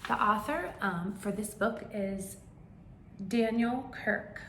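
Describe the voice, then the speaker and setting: A woman's voice: medium pitch, slow pace, a bit bright, echoey room, slight background noise. A woman doing a read-aloud for young children, explaining simply and prompting them with questions.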